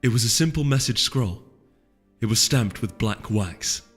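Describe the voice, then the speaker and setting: A man reading fantasy fiction as a story narrator, in an expressive, suspenseful scripted style. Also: mid-range voice, unhurried, breathy edge. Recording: long hall reverb under music.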